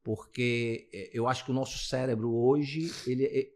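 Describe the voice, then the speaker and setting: A man's voice: mid-range voice, fairly even intonation, moderate pace, a little mellow. A man in his late fifties with a Brazilian accent, a physiotherapist being interviewed, talking casually and rambling with many restarts. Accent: Brazilian Portuguese. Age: 50s. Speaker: physiotherapist guest.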